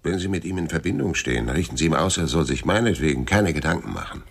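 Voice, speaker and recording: A hardboiled private detective in a radio crime drama. His voice is extremely low and full of gravel. He delivers everything in a dry, laconic deadpan with a weary, sardonic edge. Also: fast, full character voice. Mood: guarded, defiant. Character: world-weary, sardonic male private detective, middle-aged. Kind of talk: radio crime drama acting and first-person narration.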